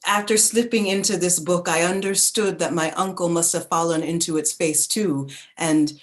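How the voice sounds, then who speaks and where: Woman playing reflective narrator, lowish female voice, deliberate literary delivery, contemplative memoir tone. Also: steady tone, natural voice. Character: reflective woman narrator remembering her bookish childhood. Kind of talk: dramatic literary reading.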